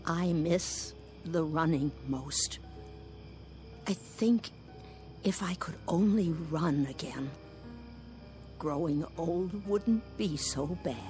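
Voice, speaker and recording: An actress playing a wistful old woman in a radio drama, cautious and longing, with a deliberate, emotive delivery. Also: sits low, music in the background.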